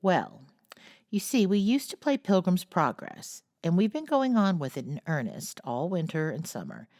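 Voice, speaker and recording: A woman voicing a frank, outspoken teenage girl in a storybook reading, blunt and brisk. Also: lowish voice, big pitch swings, a little mellow, dry recording, clean studio sound, voice pushed up.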